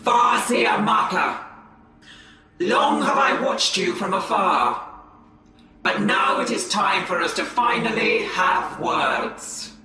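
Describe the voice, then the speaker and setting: An actress playing a stern, haughty ancient alien warrior lord in a game, commanding and taunting in a deliberate way. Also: female voice, medium pitch, expressive, sharp tone. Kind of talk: theatrical game cinematic acting. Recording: heavy otherworldly echo.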